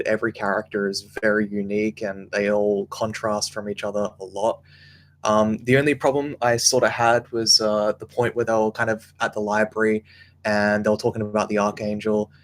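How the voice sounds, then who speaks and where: A man's voice: fairly low pitch, level tone, moderate pace. A man giving casual spoken feedback on a script, with background noise and hum.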